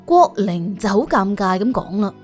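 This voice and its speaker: Cantonese woman narrating a detective audiobook, really animated, soft music under her. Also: medium pitch, normal speed. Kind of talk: expressive scripted detective-story narration.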